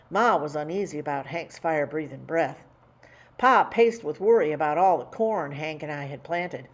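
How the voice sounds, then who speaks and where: A woman reading a children's picture book aloud in an animated, folksy storytelling way. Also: medium pitch, breathy edge. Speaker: storytime reader.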